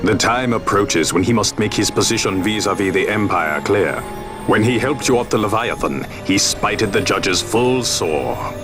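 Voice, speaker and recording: A man's voice: lowish voice, lively intonation, over music, lightly acted. An actor playing a grave nobleman who advises rebels in formal, old-fashioned speech. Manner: formal, archaic, measured speech. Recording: game cutscene with loud orchestral score.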